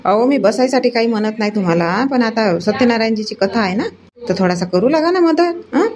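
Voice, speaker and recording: A woman acts out a middle-aged, nagging village wife for a cartoon comedy. She speaks rapidly in a slightly high voice, in homely rural Vidarbha Marathi with a sharp tongue. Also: animated, natural voice. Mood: scolding.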